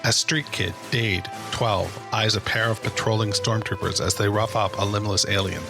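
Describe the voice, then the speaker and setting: Deep-voiced male narrator over music. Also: steady tone, normal speed, gravelly. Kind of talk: scripted dramatic narration.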